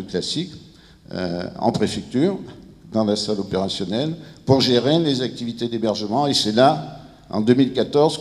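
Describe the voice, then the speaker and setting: A man in his fifties or sixties gives a formal but halting presentation as an official, searching for words, on a handheld microphone. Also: medium pitch. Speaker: civil protection director presenting at a conference. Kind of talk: formal public presentation, semi-improvised. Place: large indoor conference room with seated audience. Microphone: handheld microphone held near the mouth. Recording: reverberant hall sound over handheld microphone.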